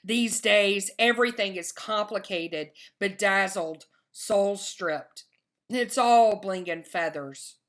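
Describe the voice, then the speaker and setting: A woman poet giving a poetry reading with a measured, emphatic delivery. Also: mid-range voice, fairly bright tone.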